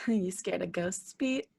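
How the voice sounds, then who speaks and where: An actress playing a young, nervous, reluctant gangster's moll in an old time radio crime drama. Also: female voice, medium pitch, slow pace, gravelly, a little mellow, slightly rough, heavily acted. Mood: teasing.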